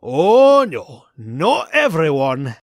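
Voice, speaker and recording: A miserly, indignant old male cartoon duck, for a comic dub. The pitch is high and swings up and down, and the words come slowly. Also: wildly swooping pitch, no room sound, clean studio sound, exaggerated voice. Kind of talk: comic book fan dub.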